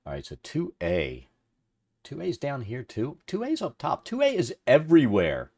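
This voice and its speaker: A middle-aged man with a deep radio-like voice, chatting casually and thinking aloud as he hosts a livestream for his viewers. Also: wildly swooping pitch, normal speed, a little mellow, breathy edge. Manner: rambling, informal, thinking aloud. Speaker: livestream host. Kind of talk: casual chat with stream viewers while working.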